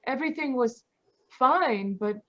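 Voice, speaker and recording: A woman's voice: high-pitched, expressive, measured pace, a bit bright, dull audio. A woman playing a distraught, polite young lady of the house in an old-time radio melodrama. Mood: subdued, explaining. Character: distraught, polite grown daughter of murdered man. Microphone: video call.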